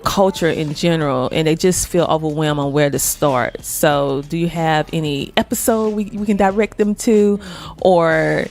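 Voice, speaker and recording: A woman's voice: deep voice, normal speed, few pauses, darkish tone, soft background music. A woman hosting a talk show, asking long, winding questions of her guest. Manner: rambling, searching questions. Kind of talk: interview questions.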